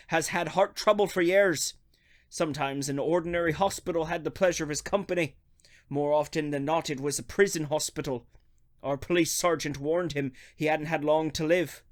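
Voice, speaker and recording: A man's voice: high-pitched, lightly acted. A man playing an officious, suspicious working-class police constable in a radio drama, blustery and accusing.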